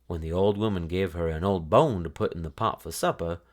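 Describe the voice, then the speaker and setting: A man reading a children's story aloud as an expressive storyteller. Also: sits low, a little fast.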